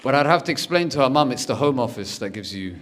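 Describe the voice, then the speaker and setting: A young man does stand-up on a comedy stage. He tells personal stories and jumps in and out of the voices of the people in them. He keeps the delivery animated and chatty. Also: mid-range voice, fast, noisy background. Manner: animated, acts out every character's lines. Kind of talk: stand-up storytelling.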